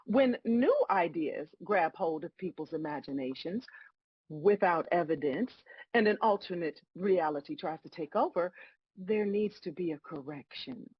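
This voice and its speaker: A woman playing God as a wry, patient, bemused celestial judge, explaining things in a measured way at a table read of a comedy. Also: fairly low pitch, expressive, slightly rough, dry recording, dull audio. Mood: lofty, instructive.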